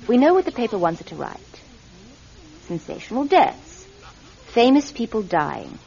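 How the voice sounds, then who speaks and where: An actress in a radio drama playing a bossy, cold-blooded, scheming sister. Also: female voice, a little high, very animated, moderate pace, gravelly, warm tone. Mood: coolly scheming. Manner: domineering, conniving, insistent. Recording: dull vintage radio recording, faint music.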